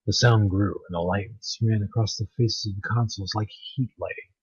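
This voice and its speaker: A man giving an amateur dramatic story narration, halting in places and stumbling over words. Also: on the low side, fairly even intonation, breathy edge, no echo, dull audio. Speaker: narrator of online story readings.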